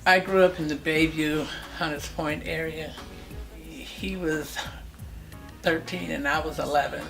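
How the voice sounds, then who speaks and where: A woman's voice: low-pitched, fairly even intonation, unhurried, creaky, rough recording. A woman in her sixties from California, looking back on her family life and listing dates and names one after another. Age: 60s. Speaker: interviewee recalling family life. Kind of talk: personal reminiscence. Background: music.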